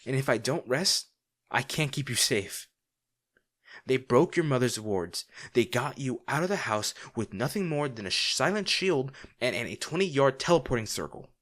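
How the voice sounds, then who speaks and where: A man playing a devoted, remorseful bodyguard to a noble lady in a fantasy audio drama, speaking in a formal, halting, earnest way. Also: medium pitch, animated, fairly bright tone. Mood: impassioned, protective.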